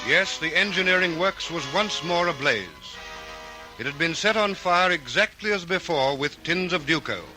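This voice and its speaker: A man narrating an old radio crime serial, in a crisp, formal, dramatic style. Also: fairly high pitch, normal speed, over loud music, lightly acted. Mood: earnest, suspenseful. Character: earnest male crime-case narrator.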